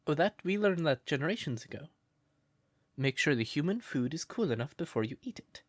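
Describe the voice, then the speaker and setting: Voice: mid-range voice, expressive, brisk, no echo, clean studio sound, lightly acted. A performer voicing a shy, smitten crow turned human in an intimate comfort roleplay, hesitant and stammering, naively earnest.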